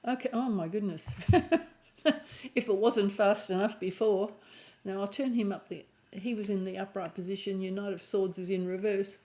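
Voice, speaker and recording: A woman with a croaky voice and a lingering cough giving an intuitive tarot reading, thinking aloud and often restarting her phrases. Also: medium pitch, fairly even intonation, normal speed, dark tone. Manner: musing aloud, restarting phrases, clearing throat. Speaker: tarot reader.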